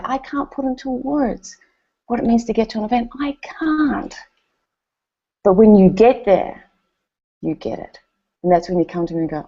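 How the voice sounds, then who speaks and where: Animated New Zealand woman speaker. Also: lowish voice, vocal fry, husky, muffled recording. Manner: animated storytelling with quoted voices. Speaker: speaker at a company event. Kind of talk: motivational speech.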